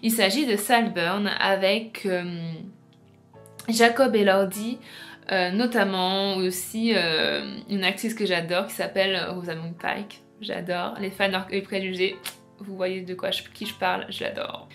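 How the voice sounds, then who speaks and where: A woman's voice: mid-range voice, fairly even intonation, measured pace, faint music behind. A woman presenting an informal video essay as a book vlogger, chatty and explanatory, speaking straight to her viewers.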